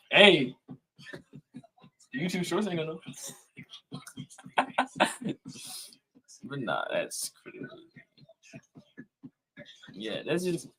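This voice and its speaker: A man's voice: a little high, a little hiss or hum, low-quality recording. A man streaming a casual live reaction, talking in slangy, repeated phrases.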